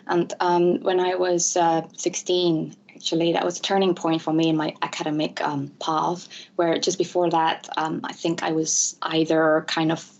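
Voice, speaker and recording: Soft-spoken woman from Malaysia, lo-fi. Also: low voice, little pitch movement, normal speed, vocal fry, few pauses. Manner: hesitant, many fillers and restarts. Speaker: interview guest, ADHD advocate. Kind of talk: conversational interview. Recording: dull lo-fi audio.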